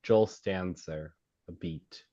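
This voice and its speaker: Slow, halting man reading script directions. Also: low-pitched, dark tone. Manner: halting, stumbles over words and rereads. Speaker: stage-direction reader. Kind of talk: reading screenplay directions at a table read. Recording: slightly dull, lo-fi sound.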